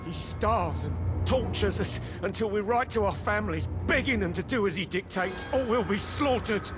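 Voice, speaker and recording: An actor playing a starved, fearful prisoner pleading for help in a video game. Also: male voice, high-pitched, expressive, moderate pace, music in the background. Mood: frightened pleading.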